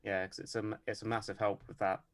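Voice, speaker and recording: Male podcast guest, deep monotone, deadpan delivery, measured and hesitant. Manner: measured, lots of ums and hedges. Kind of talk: casual interview chat.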